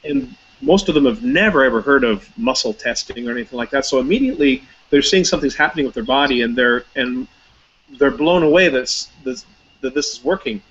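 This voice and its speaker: A man's voice: mid-range voice, expressive, brisk, fairly bright tone, slightly rough. Chatty man on a lo-fi web call. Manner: chatty, anecdotal, many fillers and restarts. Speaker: hypnotist, guest. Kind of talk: casual interview talk.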